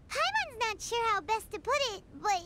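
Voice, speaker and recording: Squeaky, very high childlike fairy. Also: female voice, heavy background noise, exaggerated voice. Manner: squeaky, speaks of herself in third person. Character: tiny childlike floating fairy companion, excitable and naive. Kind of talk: video game character acting.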